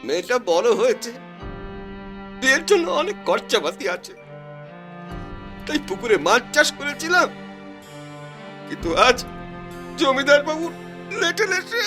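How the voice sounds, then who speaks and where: A man's voice: very high-pitched, big pitch swings, measured pace, long pauses, music in the background, exaggerated voice. A man voicing a distressed, put-upon older village fish farmer in a cartoon, with a plaintive manner. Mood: tearful. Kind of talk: Bengali cartoon dubbing.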